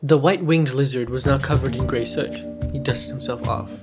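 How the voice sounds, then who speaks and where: A man's voice: mid-range voice, normal speed. A man co-narrating a scripted story in a conversational style, with a dry, bantering manner. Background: plucked guitar music.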